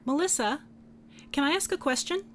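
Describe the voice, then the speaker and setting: A woman's voice: sits high, moderate pace, lightly acted. Woman acting a guarded female private investigator, noisy background. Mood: hesitant, awkward. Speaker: actor in a radio drama. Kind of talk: contemporary radio drama acting.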